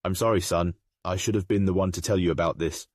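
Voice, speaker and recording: A man playing a grave, remorseful aristocratic father in a comic dub, speaking in a formal, measured way. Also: low-pitched, steady tone, darkish tone, dry recording, studio quality. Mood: solemn, remorseful.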